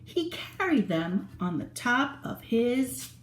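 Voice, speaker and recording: A woman's voice: fairly high pitch, very animated, slow pace, echoey room, noisy background. A woman reading a picture book aloud to young children in a playful, sing-song way, stopping to ask them questions. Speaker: children's storyteller.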